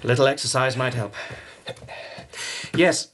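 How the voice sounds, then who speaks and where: An actor playing a sardonic, menacing lord of a Japanese court in a radio drama, with formal, probing speech. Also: male voice, mid-range voice, measured pace, fairly bright tone, natural voice. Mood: intense, musing. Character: self-possessed, menacing Japanese palace lord with sardonic temper.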